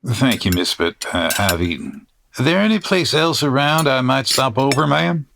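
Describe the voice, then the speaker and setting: A man playing a calm, courteous stranger in an old west comedy audio drama. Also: medium pitch, normal speed, creaky, background noise. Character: polite, calm mysterious male stranger.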